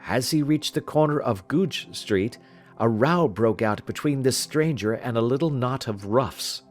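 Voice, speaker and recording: A man voicing a confident, analytical gentleman detective in an audiobook, speaking in a precise, measured, lecturing manner. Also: medium pitch, expressive, faint music behind, natural voice. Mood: matter-of-fact recounting. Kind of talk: audiobook character dialogue.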